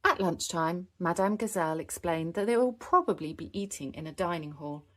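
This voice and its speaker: A woman narrating a children's storybook in an animated, scripted style with expressive emphasis. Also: on the low side, brisk.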